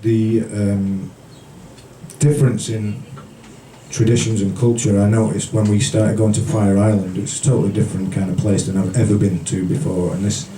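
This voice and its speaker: A man from the British Isles reading poetry live at a café event in a measured storytelling manner, with brief casual asides. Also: lowish voice, level tone, warm tone. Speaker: featured poet. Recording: roomy, distant, noisy live recording.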